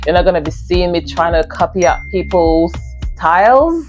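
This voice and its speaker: A woman's voice: lowish voice, animated, moderate pace. A young woman from Nigeria with a Nigerian accent, talking earnestly to camera on her first vlog and repeating herself for emphasis, with faint music behind. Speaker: vlogger. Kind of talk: casual talk to camera.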